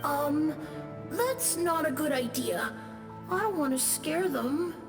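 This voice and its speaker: A shy little ghost boy in an anime game. The voice is high, soft and boyish. He speaks slowly and hesitantly, with faint music behind. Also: animated. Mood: timid, apologetic. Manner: timid, hesitant, slow. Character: timid ghost of a lost young boy. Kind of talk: anime-style game voice acting.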